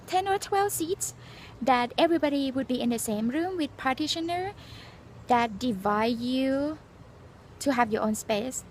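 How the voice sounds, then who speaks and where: Earnest high-voiced Thai-accented woman. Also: a little mellow, slight background noise. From Thailand. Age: 40s. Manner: earnest, halting, frequent fillers and restarts. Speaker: nurse sharing exam experience. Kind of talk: casual personal vlog, storytelling and advice.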